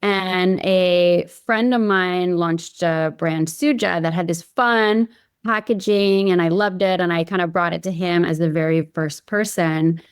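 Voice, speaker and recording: A woman's voice: on the low side, fairly even intonation, unhurried, clean tone, no echo, studio quality. A woman from Hawaii chatting informally as an interview guest, telling her own story with lots of filler phrases. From Hawaii, United States. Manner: informal, peppered with "you know" and "like". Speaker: podcast guest, company founder. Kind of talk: casual chat, recounting own story.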